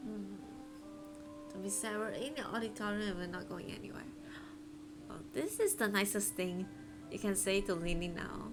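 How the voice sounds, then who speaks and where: Woman streamer over loud music. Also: medium pitch, normal speed, poor audio. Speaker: game streamer. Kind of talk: casual live reactions. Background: loud game music and dialogue.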